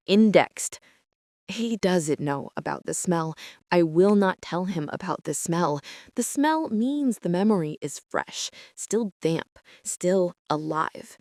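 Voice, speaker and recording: A woman playing a traumatized, meticulous archivist, narrating a psychological horror drama in a brooding, deliberate way. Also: fairly low pitch, a little mellow, dry recording, studio quality. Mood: guarded, bitter. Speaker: narrator and lead character of an audio drama.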